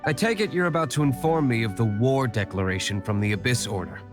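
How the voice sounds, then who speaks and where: An actor playing a cool, brooding young nobleman and secret vigilante in a video game, reserved, formal and measured. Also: medium pitch, expressive, natural voice. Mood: cool, dismissive. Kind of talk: video game character acting. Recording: music behind the voice.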